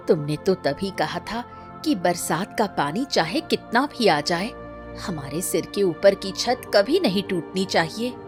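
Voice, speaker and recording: A woman playing a gentle, caring, cheerful family woman in a cartoon story, sweet in manner. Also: mid-range voice, expressive, takes it slowly, music in the background. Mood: admiring.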